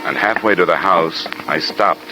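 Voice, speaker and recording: An actor playing a hard-boiled, persistent investigator in a 1950s radio detective drama, clipped, dry and matter-of-fact. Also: male voice, low voice, normal speed, loud music behind the voice, close to an everyday voice. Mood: narrating. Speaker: lead actor and narrator. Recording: noisy vintage radio recording.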